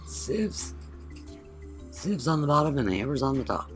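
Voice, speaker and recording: A man's voice: mid-range voice, very animated, moderate pace, faint music behind. A man chatting casually with a friend during a game, asking short questions while losing his voice. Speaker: co-host watching a friend's build. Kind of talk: casual chat.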